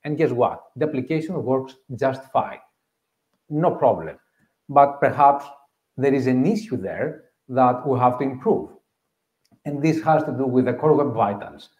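A man's voice: medium pitch, measured pace, a little mellow. Greek-accented man presenting in a roomy hall. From Greece. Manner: earnest, explanatory, repeats key points. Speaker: conference speaker. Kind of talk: tech meetup talk. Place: meeting room with audience. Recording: roomy, slightly dull live room audio.

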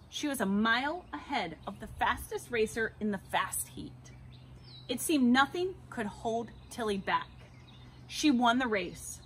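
An American woman reading a children's picture book aloud expressively, with deliberate, dramatic emphasis on key words. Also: fairly high pitch, fairly bright tone. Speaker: read-aloud storyteller. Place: outdoors beside a house. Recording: clear background ambient noise outdoors.

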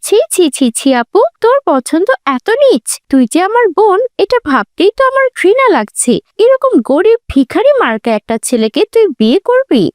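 A spoiled young rich sister from a cartoon morality tale, acted by a woman, with a very high, swooping voice. She is scornful and pushy, scolding everyone in a haughty tone. The sound is close and dry. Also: sing-song, moderate pace, few pauses, a little mellow, dry recording. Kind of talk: melodramatic cartoon story dubbing.

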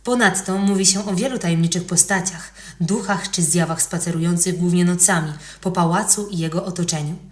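A woman's voice: lowish voice, brisk, hardly any pauses. A woman reading scripted historical narration as a podcast narrator. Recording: roomy, echoing voice recording.

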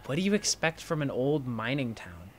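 An actor playing an inquisitive, teasing college student in a fiction podcast, with a prodding, excitable manner. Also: male voice, mid-range voice, normal speed, a little hiss or hum, lightly acted. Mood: explanatory. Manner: prodding, animated, incredulous.

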